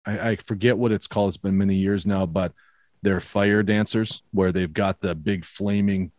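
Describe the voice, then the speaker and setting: A man's voice: low voice, fairly even intonation, moderate pace, no room sound, clean studio sound. A man with a Minnesota accent, chatting casually and telling stories with many restarts and repeated words. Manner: warm storytelling, frequent restarts and repeated words. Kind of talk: casual conversation.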